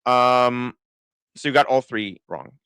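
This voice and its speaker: Israeli guy who sounds american, crisp clear voice, rambling live commentary w lots of ums. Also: medium pitch, slow, fairly bright tone, dry recording. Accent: American-sounding English. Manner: thinking aloud, many restarts and fillers. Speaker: political commentator. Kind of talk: live-stream commentary reading chat.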